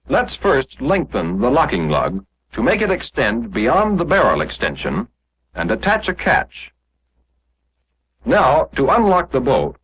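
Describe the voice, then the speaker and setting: A dull, muffled vintage film soundtrack carries a male narrator from the 1940s. His voice is a little husky and moves with lively, emphatic pitch. He explains mechanics step by step, reading from a script like an instructor. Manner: didactic, clearly explanatory, step by step.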